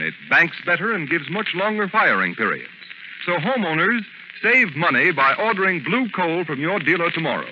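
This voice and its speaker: A man announcing in an old-time radio advertising style, polished and emphatic. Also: fairly high pitch. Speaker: radio announcer and sponsor pitchman. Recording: muffled, very noisy vintage radio recording.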